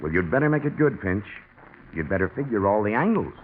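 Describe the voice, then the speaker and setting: An actor playing a big, bluff, ruthless business partner with a gloating, taunting manner in a 1940s radio drama. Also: male voice, on the low side, sing-song, a little fast. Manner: gloating, taunting, hard-headed. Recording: dull, noisy 1940s radio recording.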